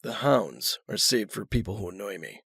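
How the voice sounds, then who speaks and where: An actor playing a wealthy, guarded, dry-witted man in an audio drama, speaking in terse, measured lines. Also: fairly low pitch, very animated, creaky, a bit bright, slightly rough, dry recording, full character voice. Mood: dry, intent.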